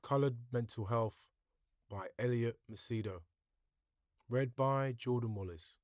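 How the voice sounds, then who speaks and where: A man giving a dramatic poetry reading, deliberate, with pauses between short phrases. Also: mid-range voice, darkish tone, no room sound. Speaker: poetry reader.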